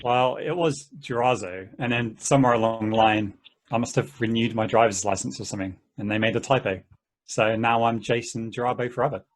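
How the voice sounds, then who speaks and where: A man's voice: medium pitch, steady tone, a little fast, lightly acted. A man playing an easygoing husband chatting with friends in an amateur table read of a play. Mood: amiable.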